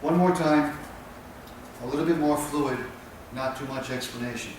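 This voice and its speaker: A man giving patient, plain spoken instruction while he demonstrates, pausing between short phrases. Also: on the high side. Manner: plain, patient explaining with pauses. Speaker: tai chi instructor. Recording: echoey, distant with background noise.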